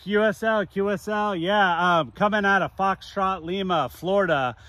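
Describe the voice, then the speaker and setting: American man, middle-aged, higher-pitched. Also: moderate pace, pushed and bright, dry recording. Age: 40s. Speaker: novice amateur radio operator, vlogger. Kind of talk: casual chat.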